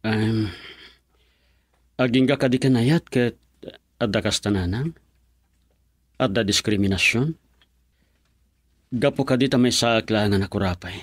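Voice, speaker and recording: An actor playing a determined, devoted young man in a radio drama, with a husky voice and an earnest, intense manner. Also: fairly low pitch, a little slow, long pauses, dry recording, clean studio sound. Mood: defiant, earnest.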